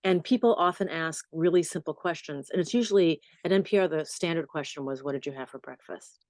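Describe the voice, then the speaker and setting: Low-voiced woman telling stories. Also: a little fast, no echo. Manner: warm storytelling, animated asides. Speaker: journalist and podcast host. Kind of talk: relaxed interview answers.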